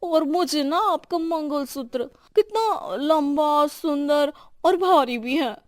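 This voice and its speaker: A woman's voice: high voice, slow pace, no echo, studio quality. A woman voicing a greedy, pushy young daughter-in-law with an eager, coaxing manner in a cartoon. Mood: coveting.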